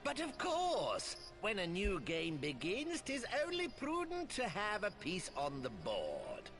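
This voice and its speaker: An actor voicing a smug, scheming wealthy merchant lord in a video game, with pompous, mock-courteous, drawn-out delivery. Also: male voice, high voice, huge pitch swings, a bit bright, exaggerated voice. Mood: smug, sly. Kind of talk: video game cutscene acting. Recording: game audio with music.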